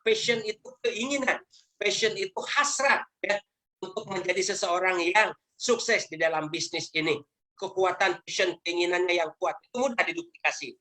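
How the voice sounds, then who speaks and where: Lower-voiced woman giving an emphatic lecture. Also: moderate pace, bright, forceful tone, poor audio. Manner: emphatic, lecturing, poses rhetorical questions. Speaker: network marketing trainer. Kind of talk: motivational training talk. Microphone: video call.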